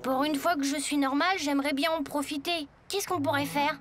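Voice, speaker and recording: A high, youthful voice of an earnest cartoon boy. He sounds good-natured and plain-spoken, with faint music underneath. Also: fairly even intonation, a little fast, fairly bright tone. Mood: sheepish, earnest. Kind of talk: French animated cartoon acting.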